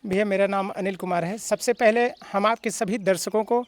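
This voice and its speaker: A man's voice: high-pitched, fairly even intonation. A man in his thirties who sings devotional songs, speaking formally and devoutly. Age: 30s. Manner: devout, formal, slow drawn-out chanting. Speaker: devotional singer. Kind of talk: interview answers and bhajan singing.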